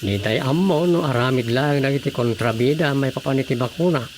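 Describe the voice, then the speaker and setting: A man's voice: medium pitch, a little fast, darkish tone, a little hiss or hum, close to an everyday voice. A man with a Filipino accent playing a sensible village man who reasons patiently, in radio drama style. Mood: persuading.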